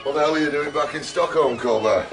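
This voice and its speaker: Gravelly, mocking detective. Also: male voice, on the high side, fast, far from the mic, music in the background. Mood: mocking, irritated. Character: gruff, mocking, abrasive male police detective.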